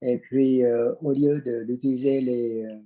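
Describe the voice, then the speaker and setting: An older man, giving a personal account and searching haltingly for his words. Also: mid-range voice, fairly even intonation, dark tone, muffled recording. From Vietnam. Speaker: audience participant. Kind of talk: personal account at an online conference.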